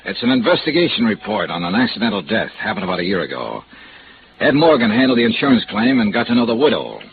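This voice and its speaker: A man's voice: fairly low pitch, big pitch swings, quick pace, background noise. A man acting a terse, brooding hardboiled investigator in a 1950s radio noir drama. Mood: businesslike. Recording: dull vintage radio recording with music.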